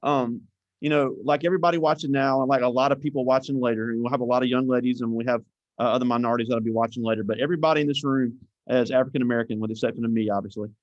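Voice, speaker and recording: A man's voice: mid-range voice, fairly even intonation, racing, no echo, lo-fi sound. A man hosting an interview at a school, talking in a rambling way with many restarts and thanks. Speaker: host.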